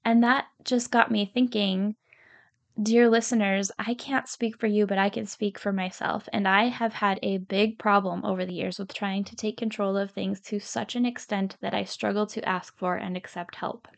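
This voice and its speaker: A woman's voice: medium pitch, fairly even intonation, moderate pace, creaky. A woman in her thirties hosting a podcast, tired and a little scratchy, talking sincerely and encouragingly straight to her listeners. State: tired from lack of sleep. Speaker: podcast host. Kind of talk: podcast monologue.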